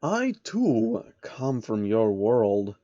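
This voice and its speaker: Guy doing a scheming old rich man, low and drawn out, fan story villain, bit muffled. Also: big pitch swings, mellow, no echo, full character voice. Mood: sly deceit. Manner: slow, measured, drawn-out delivery. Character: sly, scheming elderly male billionaire manipulator.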